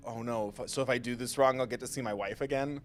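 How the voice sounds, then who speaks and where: Male streamer, jokey, playful, rambling, mid pitch, faint music behind. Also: fairly even intonation, fast talker. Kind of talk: casual live-stream commentary.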